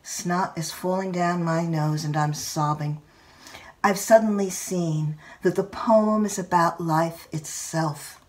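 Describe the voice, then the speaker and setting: An older woman reads a literary personal essay aloud in a measured, expressive storytelling manner. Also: fairly low pitch, far from the mic. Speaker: writer reading own essay.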